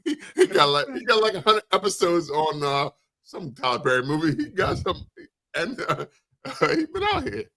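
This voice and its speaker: A man's voice: high-pitched, very animated, normal speed, vocal fry, bright, forceful tone. An American man co-hosting a podcast, chatting loosely and casually among friends. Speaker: podcast co-host.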